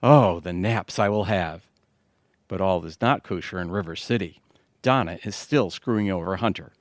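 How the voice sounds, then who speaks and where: An actor playing a good-natured, exasperated middle-aged husband in an audio theatre comedy, wisecracking and confiding. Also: male voice, deep voice, moderate pace, no room sound, clean studio sound, lightly acted. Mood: confiding, pleased.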